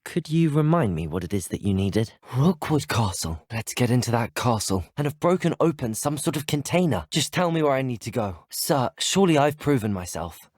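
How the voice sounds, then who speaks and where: An actor playing an earnest, brave, well-spoken teenage student wizard in a video game. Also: male voice, medium pitch, big pitch swings, normal speed, hardly any pauses, a little mellow, no room sound, studio quality. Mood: earnest, measured. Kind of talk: video game dialogue clips.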